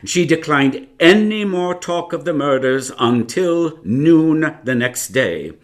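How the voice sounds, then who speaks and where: A man playing a storyteller who recounts a mystery in a live radio drama, in measured, theatrical narration. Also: a little high, expressive. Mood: measured, suspenseful. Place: closet or desk at home.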